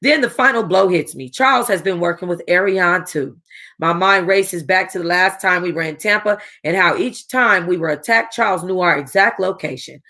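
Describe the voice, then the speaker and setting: Bright-voiced woman reading a novel aloud. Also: on the low side, moderate pace. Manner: casual, expressive reading with a streetwise voice. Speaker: book club reader. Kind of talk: first-person novel read-aloud.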